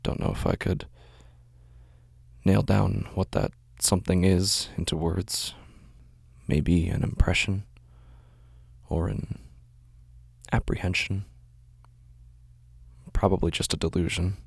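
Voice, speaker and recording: A man acts out a weary recluse narrating a horror audio drama. His deep voice crackles with fry as he speaks haltingly and introspectively, brooding and anxious, in a close, dry recording. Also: little pitch movement, dry recording, heavily acted. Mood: listless, pensive. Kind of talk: first-person horror audio drama monologue.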